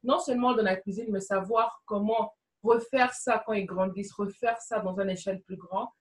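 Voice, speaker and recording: A woman's voice: mid-range voice, normal speed, far from the mic. Earnest woman from Congo, slightly off-mic. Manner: earnest, repeats phrases for emphasis. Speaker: entrepreneur guest. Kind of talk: conversational discussion.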